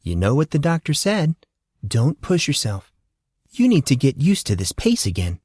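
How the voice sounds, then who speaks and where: Audiobook role: cheery, doting motherly woman, sweetly coaxing, pitched high, dry close sound male narrator performing. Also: wildly swooping pitch, moderate pace, darkish tone, husky, no echo, heavily acted. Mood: soothing. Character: chubby, cheery, doting middle-aged woman driving a car.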